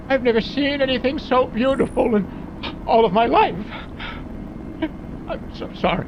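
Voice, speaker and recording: A man's voice: sits high, poor audio. A man playing a wisecracking, gun-loving mech mechanic in a video game, with folksy, slangy talk. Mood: eager. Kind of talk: video game character voice lines.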